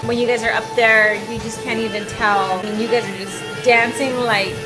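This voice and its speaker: A woman with a fairly high voice praising the dancers in a warm, admiring way. Loud music plays behind her. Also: little pitch movement, moderate pace, low-quality recording. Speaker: interviewer. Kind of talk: casual interview.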